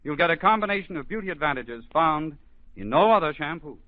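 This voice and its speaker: Coaxing 1940s radio host, muffled. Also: male voice, fairly high pitch, expressive, a little fast, no echo. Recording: dull 1940s radio broadcast.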